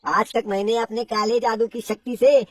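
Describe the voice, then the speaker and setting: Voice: mid-range voice, fairly even intonation, normal speed, dry recording, far from the mic, voice pushed up, natural voice. A performer voicing a sly, coaxing male woodcutter who boasts as a trickster in a storybook horror tale. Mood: boastful. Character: sly male woodcutter posing as a child-eating sorcerer.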